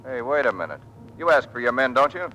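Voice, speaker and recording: Fast-talking, proud young Navy pilot. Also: male voice, medium pitch, very animated, sharp tone, lo-fi sound. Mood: defensive, insistent. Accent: American. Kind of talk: old-time American radio drama acting. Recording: noisy vintage radio recording.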